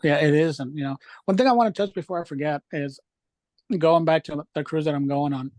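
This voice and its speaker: A man's voice: a little high, fairly quick, a little mellow, no echo. A man speaking conversationally and with enthusiasm in an informal group discussion, presenting apps from his own experience. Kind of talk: informal discussion at an online event.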